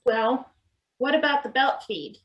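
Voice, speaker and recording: A woman's voice: sits high, expressive, unhurried, lo-fi sound, slight background noise. A woman acting a worried, questioning maintenance worker in a read-through of a satirical stage play. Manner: hesitant, questioning. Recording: echoey room sound.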